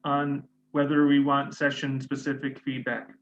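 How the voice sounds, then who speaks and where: A man leading a planning meeting on a video call, decisive, with quick restarts. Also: medium pitch, monotone. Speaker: conference planning team lead. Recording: dull audio with faint room noise.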